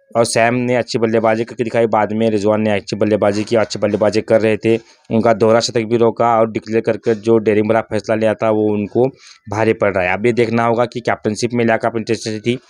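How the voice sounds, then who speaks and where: Clear, even-toned man giving cricket analysis. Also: fairly low pitch, dry recording, clean studio sound. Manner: critical, rhetorical questions. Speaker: cricket commentator, channel host. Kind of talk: opinionated cricket analysis monologue.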